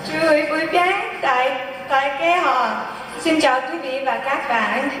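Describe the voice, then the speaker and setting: A woman's voice: sits high, normal speed, a bit bright, slight background noise. Young woman greeting a pageant audience. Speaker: pageant contestant. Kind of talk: stage self-introduction. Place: large event hall. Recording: echoey hall from far off.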